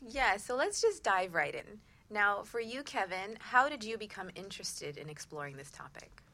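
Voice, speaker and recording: A woman hosting a talk radio show, introducing and questioning guests in a lively way. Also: mid-range voice, moderate pace.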